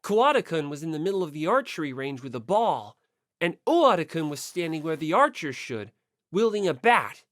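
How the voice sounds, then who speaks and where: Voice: medium pitch, sing-song, a little fast, fairly bright tone, no room sound, clean studio sound. An audiobook narrator reading a first-person story expressively.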